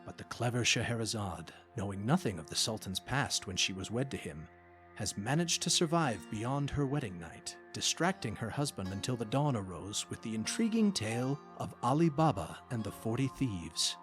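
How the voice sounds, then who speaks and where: A man's voice: on the low side, very animated, normal speed. A man narrating a radio drama in a scripted, dramatic storytelling style. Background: music under the voice.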